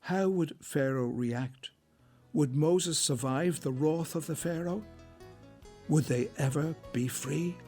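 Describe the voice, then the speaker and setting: A man narrating a scripted biblical drama in a deliberate, solemn storytelling manner. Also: a little high, darkish tone, faint music behind.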